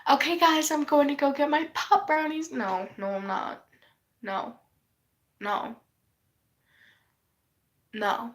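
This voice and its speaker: A woman's voice: sits high, slow, pauses often. A woman who is losing her voice from a cold, rambling in a casual vlog, sighing often and swearing offhandedly. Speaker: vlogger.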